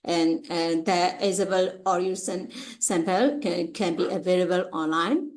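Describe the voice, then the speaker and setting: A woman with a Burmese accent giving an academic presentation in a halting, hesitant way with frequent uhs. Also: lowish voice, darkish tone. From Myanmar. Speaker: conference presenter, researcher.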